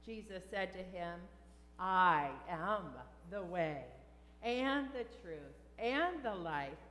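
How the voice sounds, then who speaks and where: An older woman, a pastor, reading solemn liturgy and scripture at a funeral in a measured, deliberate way. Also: fairly low pitch, animated, a bit bright. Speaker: pastor leading a funeral service. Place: large church sanctuary, indoors. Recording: echoey church with long reverb.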